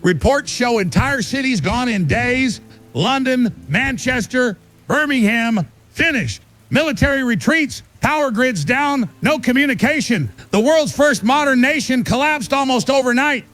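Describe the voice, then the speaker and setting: A man's voice: extremely high pitch, animated, measured pace, a bit bright, exaggerated voice. A man playing a paranoid, defiant American conspiracy broadcaster delivering urgent, emphatic emergency warnings in a radio drama. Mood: urgent alarm. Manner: emphatic, clipped doomsday warnings. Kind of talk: emergency shortwave radio monologue.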